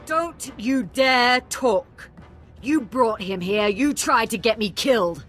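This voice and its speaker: An actress playing a proud, commanding female crime syndicate leader in a video game, deliberate and formal. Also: a little high, fairly bright tone, faint music behind. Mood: furious. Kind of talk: video game character acting.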